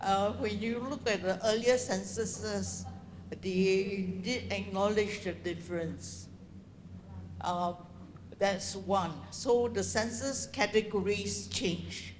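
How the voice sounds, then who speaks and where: A woman speaker answering audience questions at a seminar, measured and pausing as she thinks. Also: mid-range voice, a little hiss or hum. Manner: measured, thinking aloud with pauses. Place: seminar room in a library. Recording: reverberant seminar hall.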